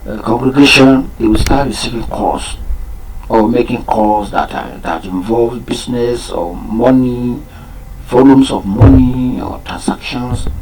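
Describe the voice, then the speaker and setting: A man whose first language is Yoruba, giving an unscripted talk on a program, pausing often with fillers and repeating his key points. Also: mid-range voice, a little mellow, slight background noise, rough recording. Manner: halting, many fillers and restarts. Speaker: program presenter.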